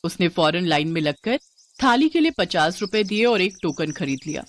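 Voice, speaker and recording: A woman's voice: lowish voice, sing-song, normal speed. A woman narrator delivering a scripted moral story as storytelling narration.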